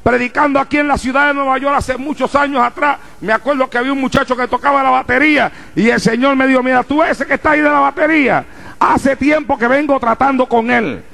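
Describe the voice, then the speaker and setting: A man preaching a fervent sermon to a congregation, rising into shouts and calling out rhetorical questions. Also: very high voice, fairly even intonation, quick pace, vocal fry. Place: church before a congregation.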